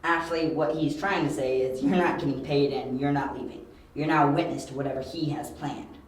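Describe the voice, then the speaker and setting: A man's voice: fairly high pitch, steady tone, fairly quick, close to an everyday voice. A very echoey, distant recording of a man acting as a young detective in an audio drama. He is brash and sure of himself. His delivery is dry and clipped, with a sardonic edge. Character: confident, wry young male detective, analytical and brash. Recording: very echoey, distant, faint hiss.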